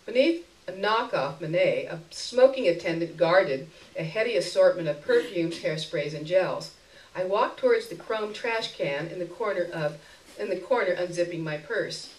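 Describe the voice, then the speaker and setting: Woman reading fiction aloud in a roomy space. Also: lowish voice. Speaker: reader of a short story. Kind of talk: expressive live literary reading, first-person narration. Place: indoor stage before an audience. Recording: roomy live stage recording, distant mic.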